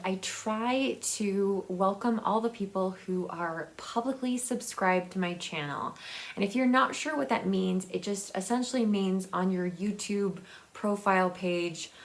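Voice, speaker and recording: A woman speaks at a slow pace in an average-pitched voice, as a channel host talking to camera. Her delivery is casual and personal. She often halts, restarts and repeats words while she looks for the next one. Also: fairly bright tone. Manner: halting, searching for words with repeats; informal, personal address to viewers. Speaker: host of a book video channel.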